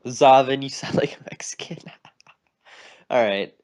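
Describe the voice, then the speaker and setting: A man's voice: mid-range voice, measured pace, darkish tone. A young man co-hosting a casual podcast chat, insistent and argumentative, who keeps telling his friend to listen. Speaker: podcast co-host. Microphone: remote call mic.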